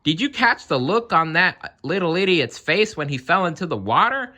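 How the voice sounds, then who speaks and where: A man's voice: on the high side, sing-song, moderate pace, bright, forceful tone, pitched above the performer's own voice. A man voicing a cruel, boastful storybook circus clown who gloats with glee. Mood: gleeful gloating.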